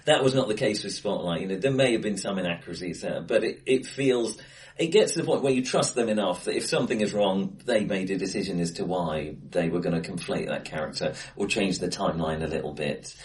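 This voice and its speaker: A man's voice: low voice, fairly quick. A man discussing films casually as a podcast co-host, often hesitating and correcting himself as he thinks aloud. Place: indoors, face to face in a reverberant room. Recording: echoey, acoustically bright room.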